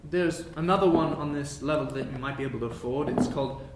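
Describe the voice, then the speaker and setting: Helpful young map boy for a tabletop game, played by a man, roomy sound with faint rumble. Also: fast talker, close to an everyday voice. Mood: helpful, frank. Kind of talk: in-character tabletop roleplay. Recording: roomy echo, low rumbling background noise.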